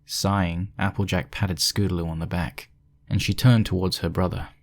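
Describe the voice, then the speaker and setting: Low-pitched story narrator. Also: fairly even intonation, normal speed. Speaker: fan fiction narrator.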